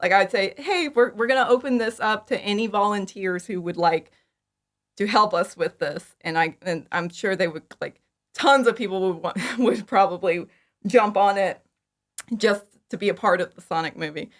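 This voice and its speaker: A woman's voice: mid-range voice, lively intonation, normal speed. A woman in her thirties chatting casually as an interview guest, enthusiastic, informal and giggly. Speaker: podcast guest, fan audio drama creator. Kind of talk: casual chat, interview answers.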